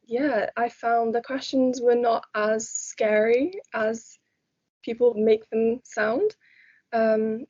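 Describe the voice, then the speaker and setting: Calm young woman, mellow tone. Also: fairly high pitch. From South Wales. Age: young adult. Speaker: student guest. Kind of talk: casual group chat. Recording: dull lo-fi audio.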